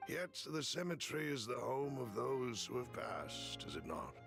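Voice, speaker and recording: A man playing a calm, loyal retainer in an anime-style game, formal and gentle with a wry touch. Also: mid-range voice, moderate pace, vocal fry. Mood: calm, courtly. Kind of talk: anime-style video game drama acting. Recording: music behind.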